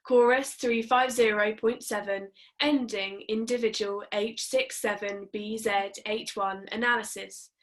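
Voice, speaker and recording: A woman's voice: mid-range voice, steady tone, a little slow, few pauses, a bit bright, natural voice. A woman playing a cold, official system voice that passes judgment in formal, procedural announcements at a play reading. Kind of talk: scripted table read of a play.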